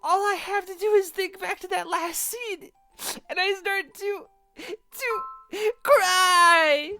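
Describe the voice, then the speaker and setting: Very high, sobbing sentimental playgoer. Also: female voice, bright, forceful tone, no echo, faint music behind, heavily acted. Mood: sobbing, moved. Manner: melodramatic, halting. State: crying. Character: overwrought, weepy, sentimental playgoer. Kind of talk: cartoonish fan-dub acting.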